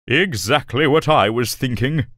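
A man playing a friendly, easygoing traveler with a sly streak and an amiable, courteous manner in an animated children's story. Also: medium pitch, measured pace, no room sound, studio quality, lightly acted.

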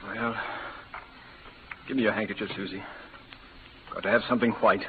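An actor playing a stern, pious frontier patriarch in an old radio western, declaiming and giving orders like a preacher. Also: male voice, fairly low pitch, fairly even intonation, normal speed, lightly acted. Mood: grim, urgent. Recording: tinny, noisy old radio recording.

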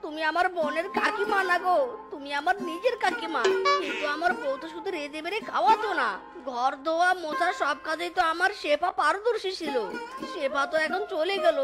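A sly widower fox from a cartoon , acted out by a voice performer. The voice is high and whiny, melodramatic and shamelessly wheedling, over clearly audible background music. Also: brisk. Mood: pleading, effusive. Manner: wheedling, melodramatic, fond of exclamations. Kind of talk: cartoon voice acting.

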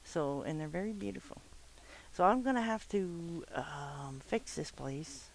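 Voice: medium pitch, lively intonation, a little slow, vocal fry, slight background noise, poor audio. A nasal, stuffed-up gamer chatting casually through a let's play, rambling with lots of fillers and the odd swear word. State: stuffed-up from swimming. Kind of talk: casual gameplay commentary.

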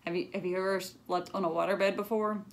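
Woman, thirties, expressive children's read-aloud, chatty asides. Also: medium pitch, quick pace. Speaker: elementary school librarian reading aloud. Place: small lamp-lit room at home.

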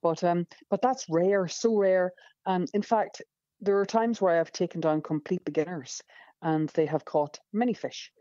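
A woman's voice: lowish voice, a little slow, dark tone, no echo, highs cut off. A woman with a Northern Irish accent, a fishing guide telling stories as a podcast guest, enthusiastic and rambling with many fillers. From Northern Ireland.

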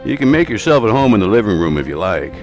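A man's voice: fairly low pitch, big pitch swings, fast, a little mellow. An actor playing a folksy, mild but sly old man living alone, in old-time radio horror style. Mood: mild, evasive. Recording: music behind the voice.

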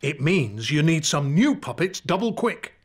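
A man voicing a middle-aged, amiable and bumbling king and father in a preschool cartoon, mild and expressive. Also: a little high, measured pace, very noisy. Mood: mild, concerned.

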